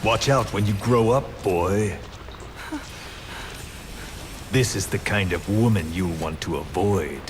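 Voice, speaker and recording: A deep, menacing male interrogator, heard through clear rain noise. He is a cruel military commander from a video game, taunting his captives with cold deliberation. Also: measured pace. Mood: sinister. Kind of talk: dramatic video game cutscene acting.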